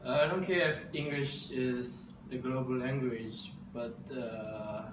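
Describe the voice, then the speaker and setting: A man of twenty with a Chinese accent, a native Mandarin speaker, answers casually and offhandedly. His pace is slow, and he sounds away from the mic in an echoey space with background noise. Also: medium pitch, fairly even intonation. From China. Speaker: university student interviewee. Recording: distant mic, echoey room, clear noise.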